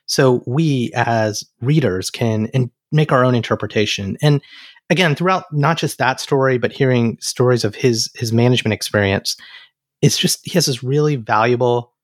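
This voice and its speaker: Earnest podcast host, dry close sound. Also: male voice, mid-range voice, no room sound. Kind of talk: podcast intro and interviewing.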